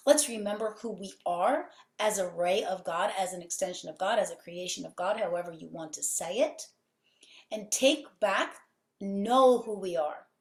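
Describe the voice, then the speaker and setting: Fervent woman talking on a podcast, keeps stacking up repeated phrases, mid pitch voice with a lot of room echo around it. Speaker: podcast guest, healing center owner. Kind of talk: conversational interview. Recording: roomy echo.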